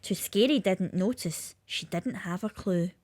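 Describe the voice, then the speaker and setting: A woman's voice: medium pitch, a little slow, a little mellow, dry recording. A woman with a lilting, sing-song delivery, reading a rhyming children's story aloud.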